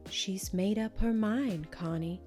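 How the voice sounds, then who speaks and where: A woman voicing a fretful, soft-spoken mother in a children's storybook, with a resigned manner. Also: mid-range voice, slow pace, faint music behind, natural voice. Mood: tearful resignation.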